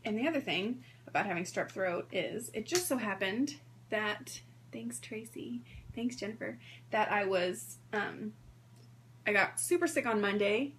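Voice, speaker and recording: A woman in her thirties hosting a sewing livestream in a hoarse voice, chatting casually and greeting viewers by name. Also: mid-range voice, a little slow, a bit bright, slight background noise. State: hoarse from strep throat.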